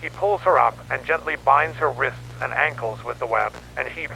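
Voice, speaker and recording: A man's voice: medium pitch, moderate pace, gravelly, sharp tone. A man playing a grim newspaperman who records a horror investigation log in a dramatic, grave storytelling manner. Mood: grim, ominous. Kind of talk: scripted analog horror narration. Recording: noisy radio-like tape with hum.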